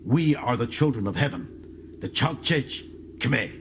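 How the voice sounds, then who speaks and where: A man's voice: medium pitch, lively intonation, slow, noisy background, voice pushed up. An actor playing a bitter, proud young male alien prisoner in an audiobook, speaking with dramatic intensity and contempt. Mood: scornful, proud.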